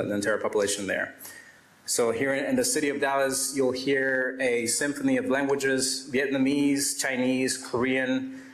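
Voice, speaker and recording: A man giving formal opening remarks at an event, reading figures aloud with frequent fillers and restarts. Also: mid-range voice. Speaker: event presenter. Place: event hall. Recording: roomy hall sound.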